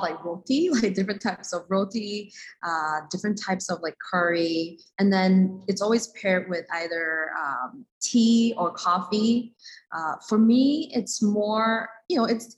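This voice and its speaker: Casting: woman from Malaysia with a middling pitch, relaxed-format interview guest who explains conversationally. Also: normal speed, rough recording. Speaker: guest, coffee company co-owner. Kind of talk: casual interview answers.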